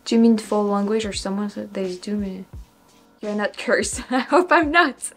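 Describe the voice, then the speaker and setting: Young french woman vlogging, french accent, repeats english words over and over trying to get them right, laughs a lot, bit of music behind. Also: mid-range voice. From France. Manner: thinks aloud, repeats words slowly to try pronunciations, laughs often. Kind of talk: casual reaction vlog.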